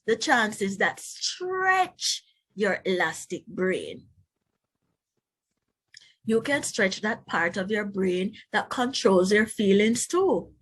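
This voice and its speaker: A woman teacher reading a children's book aloud, pausing to explain and put questions to young listeners. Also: on the high side.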